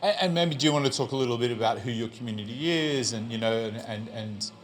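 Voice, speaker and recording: Man, gallery host, halting and filler-heavy, handheld mic in a gallery space. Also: mid-range voice. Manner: rambling, halting, many fillers and restarts. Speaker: gallery director hosting an artist talk. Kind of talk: live public conversation. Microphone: handheld microphone.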